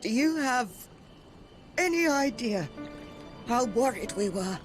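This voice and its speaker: An actress playing an indignant middle-aged mother in a fantasy video game, scolding with deliberate emphasis. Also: on the high side, expressive, faint music behind. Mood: indignant, worried. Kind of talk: scripted video game acting.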